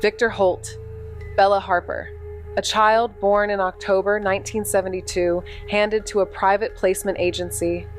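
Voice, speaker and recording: An actress playing an amateur investigator in an audio drama, piecing clues together in a deliberate, emphatic way. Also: female voice, mid-range voice, flat delivery, faint music behind, lightly acted. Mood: intent, urgent.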